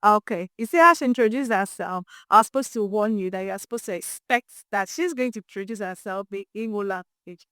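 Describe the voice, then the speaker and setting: A Nigerian woman presenting as a podcast host, introducing an interview in an expressive, emphatic way. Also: medium pitch, fairly quick, hardly any pauses, dry recording. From Imo State, Nigeria.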